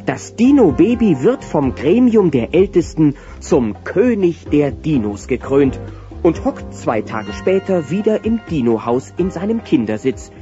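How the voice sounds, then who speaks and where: A man's voice: fairly high pitch, sing-song, unhurried, warm tone. A man acting as the wry narrator of a German children's audio play, reading a scripted story. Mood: wry, animated. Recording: dull sound with music behind.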